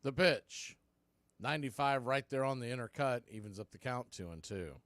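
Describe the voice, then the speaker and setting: A man doing live baseball play-by-play on radio, speaking in clipped phrases with pauses between calls. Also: mid-range voice, lively intonation, fairly bright tone, dry recording.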